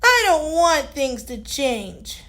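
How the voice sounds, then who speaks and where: Woman playing an eager, high-voiced little girl hippo. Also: sing-song, takes it slowly, pushed and bright, full character voice. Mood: worried. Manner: childlike, exclaiming. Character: young female baby hippo, eager, worried, sweet.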